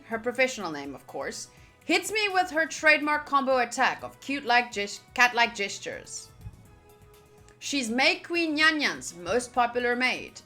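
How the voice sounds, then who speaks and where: A woman with a bright, fairly high voice reads a visual novel aloud for her playthrough. Her delivery swings widely in pitch and slips into casual, chatty reactions. Music plays behind her. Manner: chatty, expressive, reacting fondly to the game. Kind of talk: reading game narration aloud with casual asides. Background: background music.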